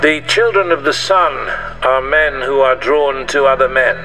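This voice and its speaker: A man's voice: mid-range voice, fairly even intonation, a little slow, music in the background, close to an everyday voice. A man playing a wry, tender groom speaking hesitantly at his outdoor wedding in an audio drama. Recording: noisy outdoor scene, distant from mic.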